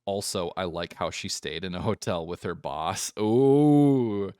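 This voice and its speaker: A man's voice: low-pitched, steady tone, a bit bright, no echo, clean studio sound. A man giving casual, joking, sweary commentary while playing a visual novel on a live stream. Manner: joking, irreverent, sweary, quick to giggle.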